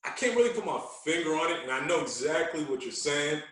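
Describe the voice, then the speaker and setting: A man telling stories in an animated, casual way, using street slang and repeating himself for emphasis. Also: a little high, quick pace. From Queens, New York. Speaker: podcast guest, radio personality. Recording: very echoey room, distant from mic.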